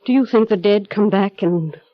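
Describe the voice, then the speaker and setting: An actress playing an obsessive, menacing housekeeper in a radio drama, speaking slowly and insinuatingly. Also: female voice, mid-range voice, no room sound, lightly acted. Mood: coldly insinuating. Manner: slow, insinuating, deliberate. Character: obsessive, menacing housekeeper devoted to her dead mistress. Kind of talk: 1930s radio drama acting. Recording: muffled old radio recording with music.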